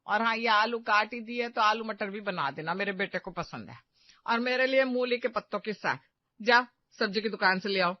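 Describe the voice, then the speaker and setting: A woman voicing a haughty, demanding middle-aged mother-in-law in a cartoon, sharp-tongued, gossipy and sarcastic. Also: medium pitch, normal speed, dry recording, lo-fi sound, lightly acted. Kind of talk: animated moral-story cartoon acting.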